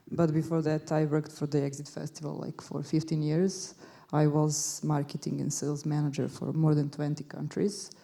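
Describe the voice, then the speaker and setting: A woman's voice: sits low, vocal fry, mellow. A woman from Serbia with a Serbian accent, introducing herself as a panelist. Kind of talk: spoken self-introduction on a panel.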